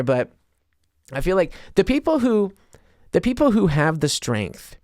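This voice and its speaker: A male podcaster with a somewhat higher-than-average voice talks off the cuff to his listeners. His delivery is loose, conversational and self-deprecating, in the manner of a comedian thinking out loud. The sound is dry, with very little room in it. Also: no echo. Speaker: podcast host and stand-up comedian. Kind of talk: casual solo podcast monologue.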